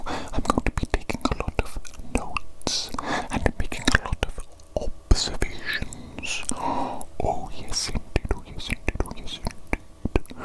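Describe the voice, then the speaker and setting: A man in a whispered ASMR roleplay, playing a fussy, pedantic evil doctor who repeats himself slowly and precisely. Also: lightly acted, rough recording. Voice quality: soft unvoiced whisper. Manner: slow, repetitive, fussy and precise.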